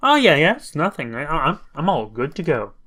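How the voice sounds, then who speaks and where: A man's voice: fairly high pitch, very animated, a little fast, bright, forceful tone, pitched above the performer's own voice, exaggerated voice. A man voicing a timid, eager young female guardian from a visual novel, speaking hesitantly with a flustered stammer. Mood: flustered reassurance.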